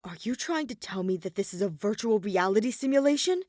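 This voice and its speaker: A woman's voice: mid-range voice, lively intonation, a little fast, a little mellow, no room sound, lightly acted. A woman voicing a stubborn, weary teenage boy in a dramatic audiobook reading, earnest and incredulous. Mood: demanding, distressed. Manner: earnest, protesting, dry.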